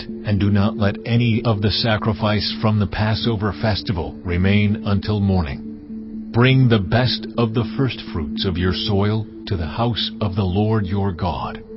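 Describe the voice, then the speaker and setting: A solemn, commanding God speaks in a measured, lowish male voice, as a man voices the deity. The sound is slightly dull and lo-fi. Loud cinematic music plays behind him. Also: fairly even intonation, natural voice. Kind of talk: dramatized scripture reading.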